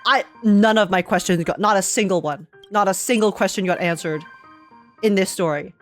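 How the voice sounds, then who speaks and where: A woman giving casual, excited live commentary on a video game as a streamer, rambling and emphatic with plenty of slang. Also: mid-range voice, normal speed, faint music behind.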